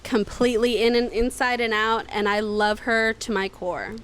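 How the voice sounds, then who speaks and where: Female podcast co-host, bright-pitched, talkative and emphatic, easy laugher. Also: fairly even intonation, moderate pace. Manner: chatty, emphatic, laughs easily. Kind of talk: casual chat. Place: indoors, seated smoking.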